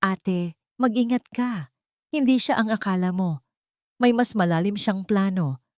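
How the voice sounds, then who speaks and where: A woman's voice: mid-range voice, measured pace, a little mellow, dry recording, studio quality, pitched above the performer's own voice, close to an everyday voice. A woman voicing a frightened, remorseful young woman in a dramatic audiobook, pleading and angry. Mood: anxious warning. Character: frightened, remorseful young woman, defiant toward her abusive lover.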